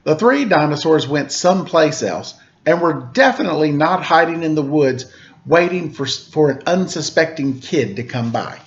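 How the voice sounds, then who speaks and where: Animated man reading a picture book. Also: a little high, normal speed, dull audio. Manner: playful, mock-innocent. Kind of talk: animated read-aloud with casual asides.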